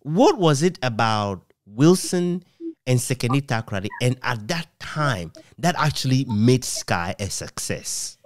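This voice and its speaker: A middle-aged Ghanaian man interviewing conversationally, asking long, measured, reflective questions. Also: medium pitch, expressive. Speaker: interviewer, author. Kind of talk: conversational radio history interview.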